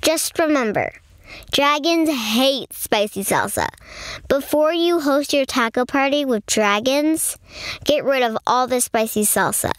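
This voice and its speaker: A woman reading a picture book aloud to young children in an expressive storytelling manner. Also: sits high, unhurried, dry recording. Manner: expressive, addressing young listeners. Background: music.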